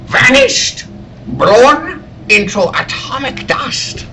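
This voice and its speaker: A man playing an excitable scientist and inventor in a dramatic, emphatic old-time radio style. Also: extremely high pitch, extremely slow, heavily acted. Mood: proud, intense. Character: excitable male scientist, inventor of a deadly machine.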